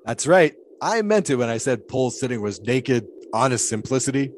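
An actor playing an eccentric, pious 1920s flagpole sitter in an audio drama, talking in a folksy, loquacious, philosophizing way with period idiom. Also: male voice, mid-range voice, big pitch swings, moderate pace, slight background noise, natural voice. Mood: earnest, instructive.

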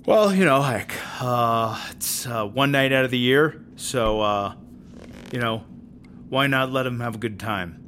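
A man playing a middle-aged, folksy prison warden who talks haltingly, clearing his throat in an audio drama interview. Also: medium pitch, fairly bright tone, slight background noise, close to an everyday voice. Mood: hesitant, folksy. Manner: hesitant, halting, full of ums and throat-clearing. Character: aging, folksy, awkward male prison warden.

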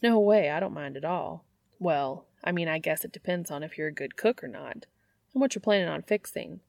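A woman's voice: low-pitched, animated, moderate pace, vocal fry, a little mellow, dry recording, clean studio sound. A woman voicing a brash, flustered young man in an audiobook, blurting and teasing with sarcasm. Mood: nervous rambling. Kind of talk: audiobook character voice.

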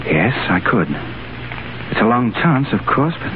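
An actor playing an idealistic, stubborn and wry English diplomat in a 1930s radio drama. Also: male voice, mid-range voice, big pitch swings, a little slow. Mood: brisk, resolute. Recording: noisy, narrow-band 1930s radio broadcast.

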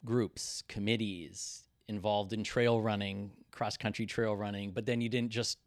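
A man's voice: on the low side, steady tone, a little slow, no echo, studio quality. A man hosting a conversational interview, framing questions thoughtfully with searching phrasing and many fillers. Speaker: podcast host.

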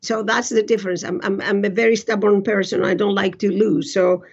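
Husky older Greek-accented woman, blunt. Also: mid-range voice, level tone, a little fast, highs cut off. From Greece. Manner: blunt, emphatic, self-assured. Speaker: interview guest, physician and author. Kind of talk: conversational personal storytelling.

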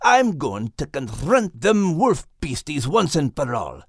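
A man voicing a stubborn male dog who speaks in a rough brogue and calls his friend "lass". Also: a little high, sing-song, unhurried, no room sound, studio quality, exaggerated voice. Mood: angry. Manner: blunt, dialect words like "lass" and "me life".

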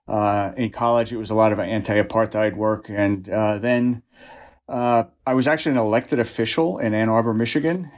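A middle-aged man giving thoughtful, slightly wry answers as an interview guest. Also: lowish voice. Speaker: interview guest, union executive director. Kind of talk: conversational interview.

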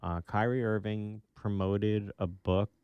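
Male sports talk co-host, lower-pitched, dry close sound, conversational and measured when laying out the issue. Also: level tone, clear, resonant tone, dry recording, muffled recording.

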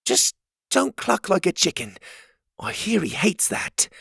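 A man doing a nervous high girlish creature. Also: big pitch swings, vocal fry, bright, forceful tone, husky, no room sound, studio quality, heavily acted. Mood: anxious. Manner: hurried, anxious. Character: nervous young female creature companion.

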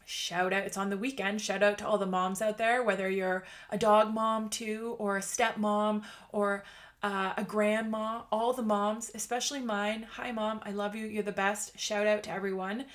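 A woman's voice: medium pitch, flat delivery, normal speed. A woman in her late thirties hosting a motivational podcast, chatty and bubbly, laughing often. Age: 30s. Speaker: podcast host. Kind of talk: upbeat motivational podcast monologue.

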